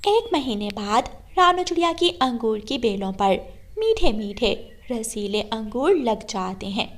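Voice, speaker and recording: High-pitched woman narrating a children's story. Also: very animated, a little slow.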